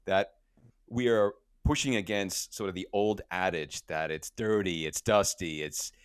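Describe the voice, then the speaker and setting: Guest voice: man, lowish pitch, casual and energetic, stumbles into sentences, dry close-miked sound. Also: measured pace, clean tone, dry recording. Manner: energetic, repeats words when starting sentences. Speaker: podcast guest, company co-founder. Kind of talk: casual interview talk.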